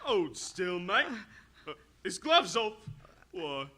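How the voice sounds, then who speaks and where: A man's voice: high voice, big pitch swings, slow, sharp tone, full character voice. A man playing a suspicious, bossy, aggrieved townsman in a radio-style audio drama. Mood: excited.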